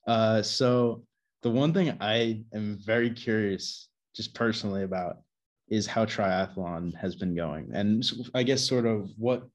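A man's voice: fairly low pitch, unhurried. A man interviewing a friend, asking meandering, rambling questions. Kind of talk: casual conversation.

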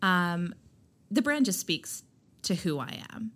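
Loud, emphatic woman, fairly low voice. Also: big pitch swings, takes it slowly. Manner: loud and emphatic, lots of "like" fillers. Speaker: skincare brand founder, podcast guest. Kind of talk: candid conversational interview.

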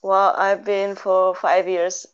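A woman's voice: medium pitch, little pitch movement, slow pace, clear, resonant tone, muffled recording. A young woman from Italy, a guest photographer, chatting casually in an interview, hesitant with many fillers and giggles. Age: young adult.

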